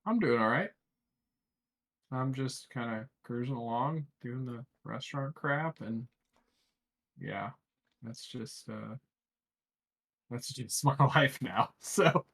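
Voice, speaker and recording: Brief: easygoing joker player, mid-range voice. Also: male voice, mid-range voice, takes it slowly, long pauses, husky. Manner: joking, relaxed. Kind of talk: casual banter.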